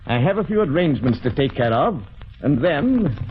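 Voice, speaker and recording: Coldly calculating doctor, lively intonation, old radio. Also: male voice, on the high side. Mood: coldly menacing. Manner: polite, measured, hesitant pauses, clinical. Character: calm, cold, calculating villainous male doctor, smugly scientific. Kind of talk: 1940s radio drama acting. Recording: muffled, noisy vintage radio recording.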